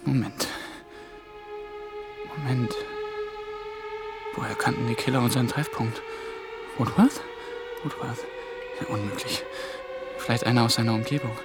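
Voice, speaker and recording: Casting: male actor as panicky young man on the run, mid pitch, breathless self-talk, radio thriller with loud music underneath. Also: a little slow, pauses often, lightly acted. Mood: nervous reasoning. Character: frantic young intern fleeing killers, panicked, self-reproaching. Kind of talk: radio drama acting, agitated inner monologue.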